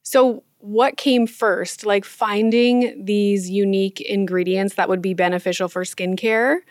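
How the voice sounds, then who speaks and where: A woman's voice: medium pitch, steady tone, a little slow, no echo, clean studio sound. Curious woman host asking questions. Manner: curious, laughs easily. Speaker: podcast host. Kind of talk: interview questions.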